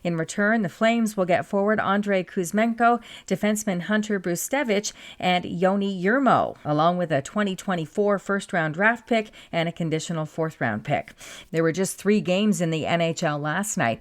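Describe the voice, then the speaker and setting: A woman with a Canadian accent reading a national newscast in a steady, measured way. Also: lowish voice. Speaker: newsreader. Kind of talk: scripted news reading.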